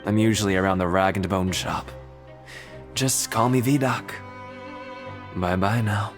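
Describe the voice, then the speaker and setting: A man's voice: on the low side, measured pace, over music, close to an everyday voice. A man voicing a sly, streetwise prisoner in a video game, teasing and matey as he hints at secrets. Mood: teasing, dismissive. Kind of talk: video game cutscene voice acting.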